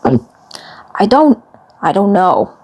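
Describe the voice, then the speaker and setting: A woman's voice: medium pitch, sing-song, drawn-out words, darkish tone, heavily acted. Woman as worried, grave cartoon commander Peepers. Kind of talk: fan fiction cartoon voice acting.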